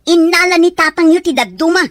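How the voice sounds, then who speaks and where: Woman acting nagging, high-voiced Ilocano mother. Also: a little fast, dry recording. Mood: defensive, nagging. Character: defensive, nagging mother with a gambling habit. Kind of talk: Ilocano radio-style drama acting.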